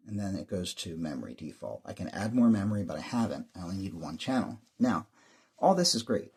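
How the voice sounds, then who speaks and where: Male hobbyist presenter, lowish rough-edged husky voice, offhand and candid, casual walk-through of equipment. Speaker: host of a radio tutorial and review channel. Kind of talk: casual hands-on gear demonstration.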